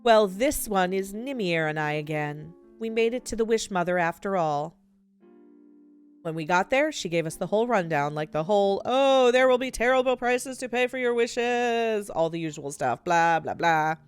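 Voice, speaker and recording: Casting: self-centred undying game villain, acted by a woman, smooth and clear, grumbling and dismissive. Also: mid-range voice, expressive, normal speed, soft background music, close to an everyday voice. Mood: boastful, dismissive. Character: vain, self-centred immortal skinless villain recalling memories. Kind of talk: reading visual novel dialogue in character.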